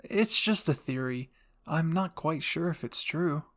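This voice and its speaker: A man's voice: on the high side, expressive, measured pace, pushed and bright, voice pushed up. A man voicing a thoughtful, tentative teenage boy soldier, reasoning aloud in an anime fan roleplay.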